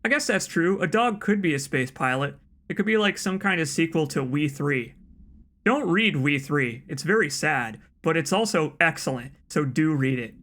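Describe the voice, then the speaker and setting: A man's voice: on the high side, expressive, moderate pace, a bit bright. A man with a soothing voice giving casual, rambling commentary as he plays a game, often restarting his sentences. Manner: rambling, chatty, frequent restarts and asides. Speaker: let's play streamer.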